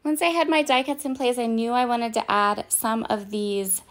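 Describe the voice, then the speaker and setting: A woman giving a casual, chatty voice-over of her craft project at home, her voice raspy and hoarse and she often pauses with "um". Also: a little high, steady tone, fairly bright tone. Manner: chatty and apologetic, with frequent "um"s. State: hoarse, voice partly fading. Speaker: craft vlogger. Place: at home, in bed.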